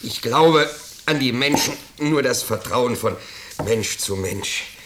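An actor playing an anxious, ingratiating middle-aged bourgeois host in a 1950s radio play, pleading and blustering. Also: male voice, medium pitch, slow pace, fairly bright tone. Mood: effusive, pompous. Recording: noisy 1950s radio recording.

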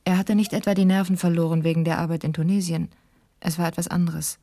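A woman's voice: lowish voice, flat delivery, fast, mellow, lightly acted. An actress playing a woman who reads her own grave, candid letter in a sober, matter-of-fact way in a radio drama. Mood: grave, restrained.

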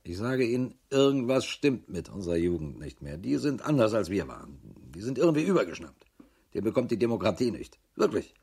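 An actor in a radio crime drama playing a sardonic, world-weary police chief in his fifties with a husky voice, grumbling as he talks. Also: fairly low pitch, big pitch swings, a little fast, darkish tone, exaggerated voice. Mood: agitated, exasperated. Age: 50s.

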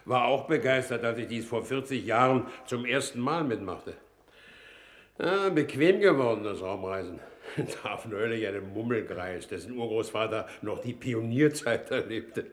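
An actor playing a jovial, blustering older war minister in a radio drama, chatty and self-satisfied. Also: male voice, mid-range voice, moderate pace, reverberant, lightly acted. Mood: jovial, amused. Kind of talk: 1960s German-language radio drama acting.

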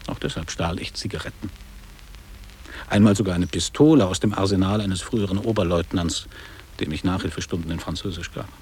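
An actor playing a guarded, wistful middle-aged man looking back on boarding school in a measured, literary radio play delivery. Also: low voice, darkish tone, slight background noise, close to an everyday voice. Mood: reminiscing, measured. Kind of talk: German radio play acting.

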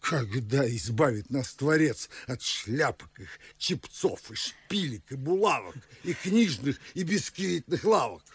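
An older man acting a pompous, fretful, scolding widowed father in a classic verse radio play, with a husky, gravelly voice and a theatrical manner. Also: medium pitch, lively intonation, unhurried, few pauses, full character voice. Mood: indignant scolding. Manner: blustering, theatrical.

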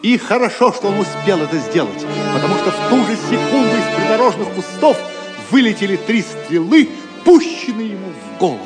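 A man's voice: high voice, very animated, a little fast. A man narrating an audio drama in a dramatic scripted storytelling style, with music beneath him. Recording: music under the voice.

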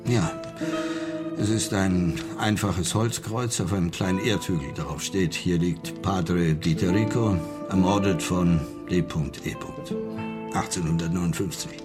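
Ein Schauspieler spielt im deutschen Hörspiel einen höflichen, nachdenklichen Farmer, der früher Militärseelsorger war und seinen Glauben verloren hat. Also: male voice, deep voice, fairly even intonation, unhurried, music in the background. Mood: reserved, helpful. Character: farmer, former army chaplain who lost his faith. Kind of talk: German radio drama acting.

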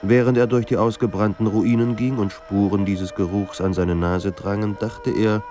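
A man performing as a somber narrator of a troubled writer's life in a radio drama, with measured, grave delivery. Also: lowish voice, steady tone, lightly acted. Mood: grim. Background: music.

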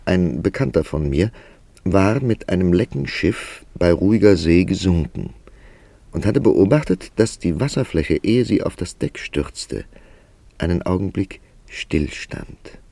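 A deep-voiced middle-aged man speaks slowly, as a curious confidant in a radio drama. His manner is measured and probing, with a gravelly edge to the composed questions. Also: lively intonation, warm tone, no room sound, heavily acted. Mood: intent, thoughtful. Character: curious, composed older confidant drawing out a dream. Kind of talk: German radio play acting, conversational.

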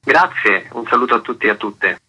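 A man's voice: medium pitch, a little fast, pushed and bright, husky, rough recording. A man speaking as an interview guest, articulate and formal, in long winding sentences. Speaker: festival artistic director, interview guest. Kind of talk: prepared interview answers.

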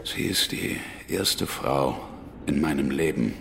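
An actor playing a guarded, dry American fugitive in a radio drama, speaking halting, foreign-tinged German with a gravelly voice. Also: male voice, a bass voice, lively intonation, fairly bright tone, a little hiss or hum, exaggerated voice. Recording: reverberant church-like hall.

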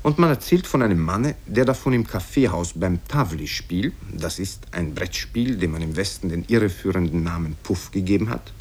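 Male storyteller as old boyar uncle: deep, rough-edged, wistful, sententious, rambling, for vintage radio tales.

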